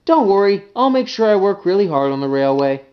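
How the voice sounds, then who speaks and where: A man's voice: high voice, sing-song, brisk, smooth, dull audio, pitched above the performer's own voice. A man voicing a cocky newcomer tank engine in a children's train story, brash and prone to whining. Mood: eager. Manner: brash, eager, whiny when displeased.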